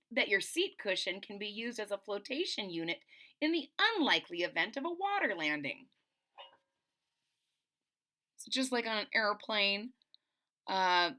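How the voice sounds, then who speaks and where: A middle-aged woman reads a children's story aloud with a very animated, swooping delivery. Her voice is bright and forceful. She leans on key words for drama and breaks off to explain things simply to young listeners. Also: mid-range voice. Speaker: storyteller reading a picture book aloud. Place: small indoor room at home.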